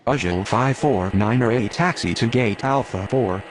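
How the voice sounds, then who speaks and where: A man's voice: medium pitch, normal speed, close to an everyday voice. A man voicing a businesslike airline radio operator in a game, speaking in clipped, procedural phrases. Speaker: pilot and ground radio voice. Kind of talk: scripted radio phraseology in an air traffic control game. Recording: clear aircraft and outdoor noise.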